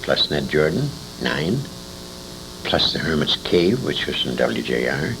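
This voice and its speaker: A man's voice: extremely low pitch, sing-song, unhurried, vocal fry. An older American man reminiscing in an interview, assured and emphatic as he sets out the details. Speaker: interviewee, former radio actor. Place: convention interview recording. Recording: noisy, humming amateur tape recording.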